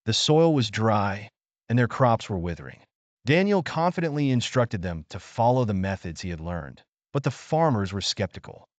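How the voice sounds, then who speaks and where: A man tells a children's bedtime story as a scripted narration. His lowish voice moves expressively up and down with measured phrasing, and the sound is close and dry with no room on it. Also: big pitch swings, dry recording, dull audio.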